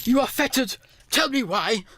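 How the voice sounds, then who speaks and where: A man's voice: extremely high pitch, lively intonation, moderate pace, very noisy. An actor playing a miserly, sour old businessman in a radio play, with a curt, theatrical delivery. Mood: fearful, pleading.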